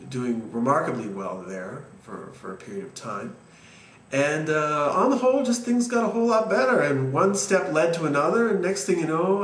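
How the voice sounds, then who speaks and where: A man's voice: a little high, a bit bright. A man in his forties from Canada, talking earnestly and reflectively to camera about his own life, with frequent ums and uhs. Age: 40s. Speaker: vlogger telling his story. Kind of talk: personal talk to camera. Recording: echoey room with faint ambient noise.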